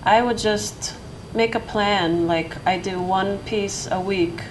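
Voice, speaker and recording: A woman speaks on a panel in a level middle voice, pausing and restarting as she works through her thoughts. The recording carries steady room noise. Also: mid-range voice. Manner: hesitant, full of restarts. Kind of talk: panel discussion. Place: lecture hall.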